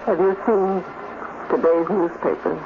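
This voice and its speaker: A woman's voice: lowish voice, fairly even intonation, a little slow, narrow, tinny audio. Casting: brilliant, wounded, sardonic female scientist, fifties radio melodrama, thin and very noisy recording. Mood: bitter, wounded. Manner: intense, sardonic. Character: brilliant, bitter female robot scientist, proud and lovelorn. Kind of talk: 1950s radio acting.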